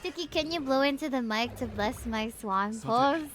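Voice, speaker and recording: A woman livestreaming a game, chatting with viewers in an excitable, playful way full of cutesy exclamations. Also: high-pitched, moderate pace, faint music behind. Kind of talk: live game streaming commentary with chat.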